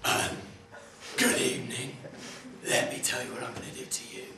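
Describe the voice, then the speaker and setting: An actor playing a menacing anonymous male caller who leaves a slow, leering, threatening message. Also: medium pitch, level tone, sharp tone, very echoey, far from the mic, a little hiss or hum, natural voice.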